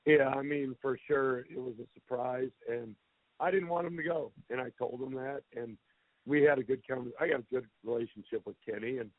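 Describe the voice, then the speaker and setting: A man coaching a football team talks candidly and plainly, with some self-deprecating honesty. His voice sits at a middle pitch and comes through a thin, tinny telephone line with no room sound at all. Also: normal speed, no echo. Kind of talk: answering questions on a press conference call. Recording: tinny phone line, dry.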